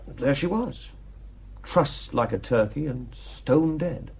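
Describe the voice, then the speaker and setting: Husky, cynical painter. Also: male voice, medium pitch, animated, slow pace, a little mellow, exaggerated voice. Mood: musing. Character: cynical, defiant male painter, coolly callous storyteller. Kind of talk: radio drama acting.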